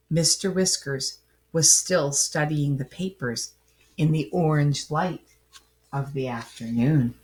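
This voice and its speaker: A woman reading a children's storybook aloud in an expressive, dramatic way, with asides to young listeners. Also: on the low side. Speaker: picture book reader.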